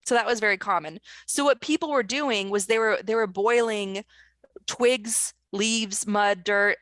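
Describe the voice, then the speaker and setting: A woman's voice: mid-range voice, fairly bright tone, no room sound. Earnest, urgent woman in a dry recording. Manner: earnest, urgent, with quick restarts. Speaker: author and screenwriter, guest. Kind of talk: online panel discussion. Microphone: video call.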